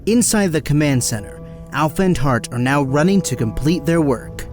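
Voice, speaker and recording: Male narrator over clear music. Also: on the high side, animated, normal speed, a little mellow. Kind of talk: scripted dramatic narration.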